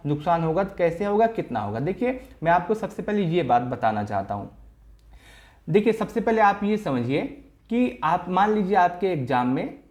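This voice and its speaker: A man's voice: high-pitched, very animated, moderate pace, darkish tone. Man explaining step by step. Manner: repeats phrases, explains step by step. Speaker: exam coaching presenter. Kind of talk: informal explanatory lesson to viewers.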